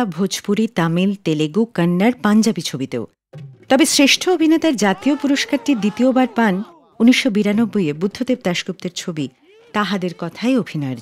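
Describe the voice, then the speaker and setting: A woman's voice: mid-range voice, a little mellow. A woman presents a music radio show in scripted narration.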